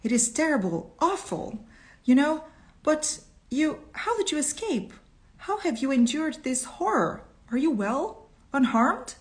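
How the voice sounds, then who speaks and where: Storybook role: a woman as an anxious, possessive young fiancé, urgent delivery, lifted pitch. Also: animated, normal speed, few pauses, a little mellow. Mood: anxious, tender.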